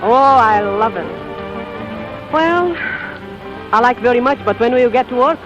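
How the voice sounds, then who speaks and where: An actress playing a spirited, cheeky Brazilian secretary with a Brazilian accent and exclamatory broken English, in 1940s radio comedy style. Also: female voice, on the high side, animated, a little slow, over music. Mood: playful. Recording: muffled vintage 1940s radio broadcast.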